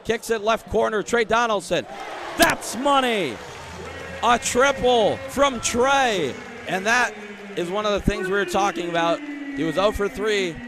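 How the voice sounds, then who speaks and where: A man's voice: sits high, a little slow, crowd noise, noisy background. A young American man doing live radio play-by-play, conversational, stretching words on the big calls. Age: young adult. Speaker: play-by-play sports announcer.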